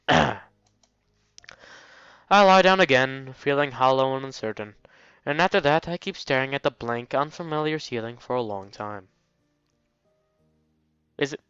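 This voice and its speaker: Clear-voiced man, dry close recording. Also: medium pitch, a bit bright, dry recording, dull audio. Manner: wry, mocking asides to the game. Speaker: let's play host. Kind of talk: reading a visual novel aloud with joking commentary.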